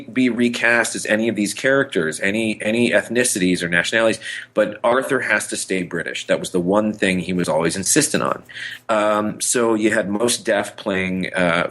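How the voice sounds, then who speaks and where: A man's voice: on the low side, fairly bright tone. A man co-hosting a podcast in casual fan discussion, speaking emphatically and repeating phrases for stress. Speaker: podcast co-host. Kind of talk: casual film discussion between fans.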